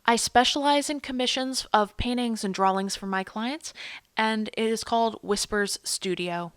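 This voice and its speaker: A woman's voice: a little high, normal speed, fairly bright tone, clean tone. A woman from Pennsylvania with an American accent, presenting and telling personal stories as a guest. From Pennsylvania, United States.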